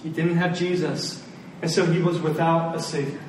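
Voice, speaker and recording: A roomy, slightly noisy recording of a man delivering a sermon. He is a pastor with a high-set voice, earnest and emphatic, repeating his key phrases for weight. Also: steady tone, normal speed. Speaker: pastor preaching to his church. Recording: roomy, distant mic, faint noise.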